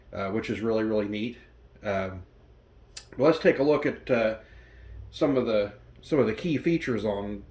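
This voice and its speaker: Low-voiced man giving a casual gear walkthrough. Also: darkish tone. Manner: conversational, explanatory. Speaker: host of a vintage electronics review channel. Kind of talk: casual product walkthrough and demonstration.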